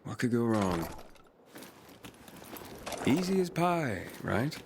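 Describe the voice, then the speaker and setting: Wary, blunt young survivor. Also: male voice, medium pitch, very animated, slow, background noise. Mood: wry, uneasy. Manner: blunt, questioning. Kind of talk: video game cutscene acting.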